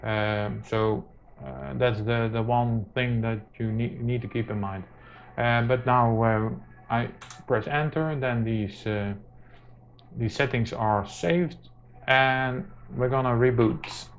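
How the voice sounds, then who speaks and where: Male tutorial presenter, informal, unhurried and hesitant, on a slightly muffled mic. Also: medium pitch, steady tone, slight background noise. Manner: halting, very frequent hesitations. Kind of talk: informal hands-on tutorial narration. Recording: muffled lo-fi mic, music behind.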